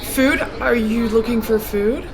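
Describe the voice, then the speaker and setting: A woman's voice: on the high side, a little slow, a crowd in the background, poor audio. A woman acting a nervous airline check-in clerk in a script reading, hesitant and easily flustered. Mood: startled, nervous.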